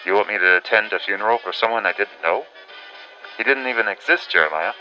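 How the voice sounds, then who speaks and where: An actor playing a wry, reluctant but dutiful 1940s radio correspondent in an audio drama, with old-fashioned announcer phrasing. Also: male voice, lowish voice, fast, natural voice. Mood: reluctant, resigned. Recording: dull band-limited audio with music behind.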